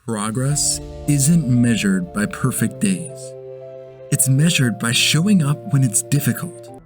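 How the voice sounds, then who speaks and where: A man voicing an earnest, steady motivational narrator, reading inspirational script deliberately. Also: medium pitch, over music. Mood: inspiring.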